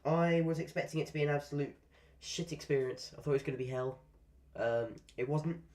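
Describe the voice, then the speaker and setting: A man's voice: fairly high pitch, a little fast, darkish tone. A man chatting casually while live-streaming a game, rambling through asides and swearing at the game now and then. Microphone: desk microphone.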